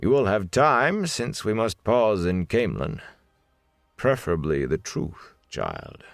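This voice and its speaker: A man voicing a grandfatherly old lord captain in an audiobook, calm, formal and gently admonishing. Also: lowish voice, slow pace, gravelly, no room sound, clean studio sound, voice pushed up, exaggerated voice. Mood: sad, admonishing. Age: older. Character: grandfatherly gray-haired male lord captain, calm and sadly stern.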